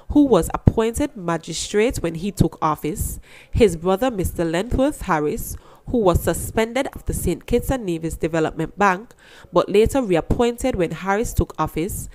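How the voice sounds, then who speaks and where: A woman newsreader delivers scripted news. Her voice sits slightly low, and her delivery is formal and measured. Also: a little mellow, poor audio.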